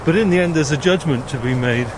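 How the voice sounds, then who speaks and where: An older man from South Wales talks as an interview guest. His voice is on the high side for a man, and he explains with measured care. Clear outdoor traffic noise runs behind him. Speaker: interview guest, retired nuclear engineer. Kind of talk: explanatory conversation. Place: outdoors walking in a gorge. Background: road traffic and outdoor ambience.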